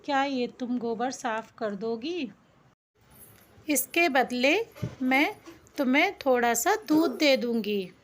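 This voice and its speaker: A gentle talking mother cow as a woman storyteller plays her in a children's cartoon folk tale. The voice is high and unhurried, politely requesting. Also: animated, slight background noise. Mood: pleading.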